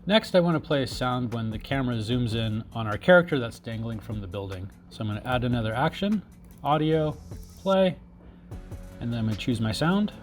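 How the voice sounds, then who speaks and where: A man narrating a software tutorial, informally talking through each step as he works. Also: mid-range voice, expressive, a little fast, soft background music. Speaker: tutorial instructor. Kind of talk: screen-recorded software tutorial, step-by-step explanation.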